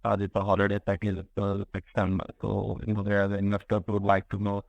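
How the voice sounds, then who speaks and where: A man with an Indian accent speaks as an analyst on a conference call. His voice is low and flat, and he gropes for words as he asks. The sound is dry and close. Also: gravelly, no echo, muffled recording. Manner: halting, searching for words. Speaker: investment analyst caller. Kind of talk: business questioning on a call. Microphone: conference call line.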